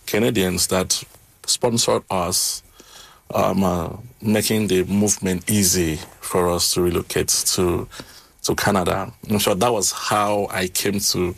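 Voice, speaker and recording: Man, gravelly voice, non-native English speaker, halting with fillers, dry close sound. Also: low-pitched, steady tone, no echo. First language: not English. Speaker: interview guest. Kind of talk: casual interview storytelling.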